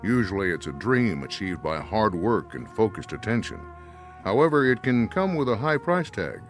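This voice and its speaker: A man's voice: lowish voice, big pitch swings, normal speed, music in the background. A man giving scripted announcements as the announcer of a radio drama.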